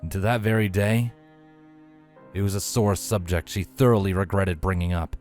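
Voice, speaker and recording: A man's voice: low-pitched, fairly even intonation, moderate pace, a little mellow, faint music behind. A man reading a scripted story as a dramatic narrator. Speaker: fan fiction narrator.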